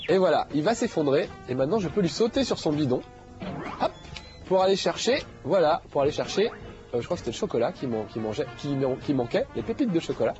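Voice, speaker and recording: A man's voice: fairly high pitch, sing-song, fast talker, lo-fi sound, over music. A man hosting a game show, talking casually over gameplay with a slight nasal head cold and lots of fillers. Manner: chatty, jokey, many fillers.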